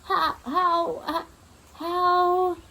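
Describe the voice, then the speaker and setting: Woman acting a stammering, frightened grandmother, pitched high. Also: little pitch movement, very slow, sharp tone, heavily acted. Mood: alarmed, stammering. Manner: stuttering, repeating herself. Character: frightened, stammering old grandmother, agitated and confused. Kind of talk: children's storybook read-aloud.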